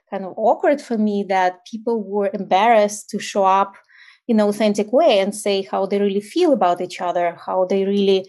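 A woman in her forties from Ukraine with a Ukrainian accent, telling her story as a podcast guest in a reflective way with soft laughs and fillers. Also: mid-range voice. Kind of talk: casual interview storytelling.

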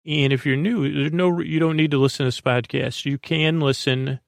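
A man with a creaky voice hosting a sleep podcast, meandering through a soothing, hesitant bedtime monologue full of tangents. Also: a little high, steady tone, moderate pace, dry recording, studio quality. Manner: soothing, lulling delivery. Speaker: podcast host.

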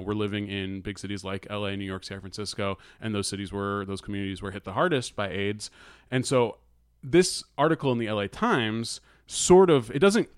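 Low, clear-voiced American man, halting. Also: dry recording, clean studio sound. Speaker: podcast co-host. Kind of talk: casual conversational recounting of an article.

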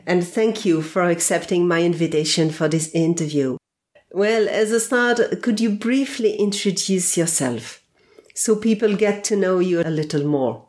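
A woman hosting a podcast, reading a scripted introduction and then interviewing a guest in a measured, deliberate way. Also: medium pitch, darkish tone. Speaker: podcast host.

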